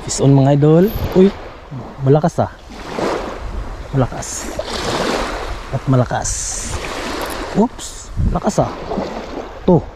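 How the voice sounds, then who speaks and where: A Filipino man talks to his viewers while he fishes from a sandy shore, and his slightly high voice jumps up whenever a fish bites. He speaks slowly and repeats his phrases. Wind and waves are clearly heard on the action camera recording. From Philippines. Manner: excitable, repeats phrases, calls viewers "idol". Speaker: fishing vlogger. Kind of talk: casual commentary to viewers while fishing. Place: sunny sandy beach by the sea, outdoors. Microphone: head-mounted action camera. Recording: outdoor camera mic with wind and sea noise.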